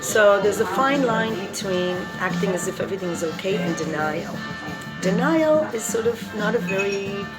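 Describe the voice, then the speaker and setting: A woman with a loud voice reading and talking casually about recovery, laughing between points. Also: mid-range voice, expressive, normal speed, low-quality recording. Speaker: recovery vlogger. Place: coffee shop. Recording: loud music in a coffee shop.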